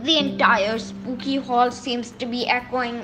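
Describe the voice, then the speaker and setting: A woman acting a haughty, genteel countess in a radio play, speaking in a formal, theatrical, halting way. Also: a little high, fairly even intonation, background noise, natural voice.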